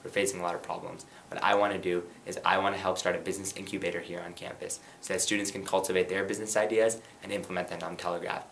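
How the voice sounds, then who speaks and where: Fast-talking low-voiced young man. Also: flat delivery, gravelly, fairly bright tone, a little hiss or hum, low-quality recording. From Pakistan. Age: 20s. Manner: earnest, rapid and persuasive. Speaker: student election candidate. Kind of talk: campaign pitch and light interview answers.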